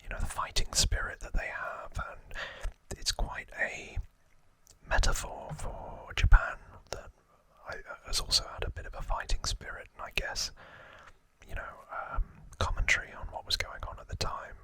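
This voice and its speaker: A man whispers in a very bright, breathy ASMR delivery, with no full voice behind it. He talks as an informal host recapping trivia, musing his way through with hesitant asides. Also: moderate pace, low-quality recording.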